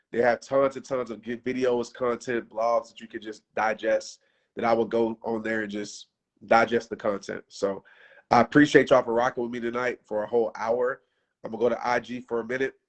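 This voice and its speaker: Fired-up man preaching on a livestream. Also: mid-range voice. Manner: passionate, preachy, casual slang. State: losing his voice. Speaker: motivational speaker on a livestream. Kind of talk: unscripted livestream pep talk.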